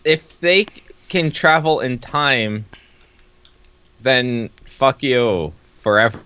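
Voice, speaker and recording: Man from Canada, lively intonation, unhurried, profane jokey co-host, casual chat, dry close sound. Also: mid-range voice, smooth. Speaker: co-host of a comic read-along.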